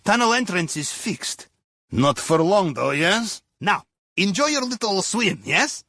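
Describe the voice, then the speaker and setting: A jovial Russian captain guides a crew through a video game heist. His Russian-accented voice sits high for a man and swings widely in pitch, with dropped articles and playful questioning tags. The sound is dry and close. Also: big pitch swings, a little slow, bright, forceful tone, dry recording, full character voice. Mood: wry, instructive. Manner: playful, theatrical, drops articles, tags sentences with questioning "yes?" or "huh?". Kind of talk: video game mission dialogue over comms.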